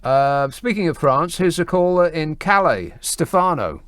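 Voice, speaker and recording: A man's voice: on the high side, very animated, unhurried, a bit bright, no echo. A man hosting a late-night radio phone-in, musing wryly and often restarting his thoughts, at a studio microphone. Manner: wry, musing, trails off and restarts.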